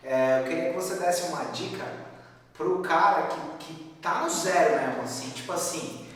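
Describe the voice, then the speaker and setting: A podcast host fumbles through his questions with plenty of fillers. He sits far from the mic, so his voice comes through very echoey with a long reverb. His voice is a little high for a man. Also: moderate pace. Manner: hesitant, many fillers like "tipo assim". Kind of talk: casual interview questions. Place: recording studio.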